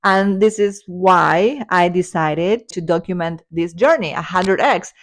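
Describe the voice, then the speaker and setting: A woman's voice: on the low side, a little slow. Earnest woman in her forties vlogging. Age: 40s. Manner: earnest, upbeat, with light laughs. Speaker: spiritual self-development vlogger. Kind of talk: personal spiritual vlog monologue.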